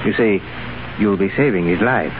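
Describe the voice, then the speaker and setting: An actor playing a curt, commanding secret police chief in a 1930s radio drama. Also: male voice, lowish voice, moderate pace, close to an everyday voice. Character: cold Soviet secret police chief, man. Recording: tinny, hissy 1930s radio broadcast.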